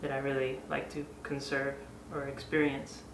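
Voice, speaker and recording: A man talking reflectively about himself, halting and pausing between short phrases. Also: mid-range voice, completely flat delivery. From Oregon and Costa Rica. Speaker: artist and educator. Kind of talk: personal introduction, reflective talk. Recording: echoey, noisy room, distant mic.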